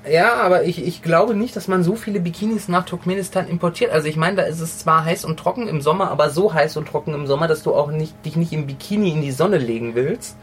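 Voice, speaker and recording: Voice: mid-range voice, fast, few pauses. A podcast co-host trading dry, matter-of-fact quips in a casual comedy chat.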